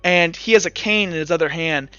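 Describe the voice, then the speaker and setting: A man running a tabletop game as game master, narrating informally and haltingly. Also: fairly high pitch, fairly bright tone, clear, resonant tone, dry recording. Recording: slightly muffled with music behind.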